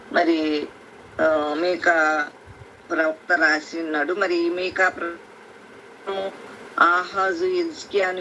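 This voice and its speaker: Woman preacher on a phone line, bright tone, explains slowly with many restarts. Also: mid-range voice. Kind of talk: Bible teaching on a prayer call. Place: conference call. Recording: phone line with faint hiss.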